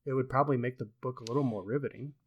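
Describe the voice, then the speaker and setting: A man's voice: mid-range voice, rapid-fire, slightly rough, dull audio. A man co-hosting a casual book discussion, speaking in an opinionated, emphatic way and repeating words for stress. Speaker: podcast co-host and book reviewer.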